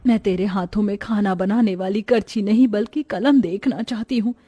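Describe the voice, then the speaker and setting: A woman's voice: mid-range voice, normal speed, warm tone, lightly acted. A woman voicing a weary, self-sacrificing poor mother in a cartoon moral story, earnest and plaintive. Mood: stern, emotional.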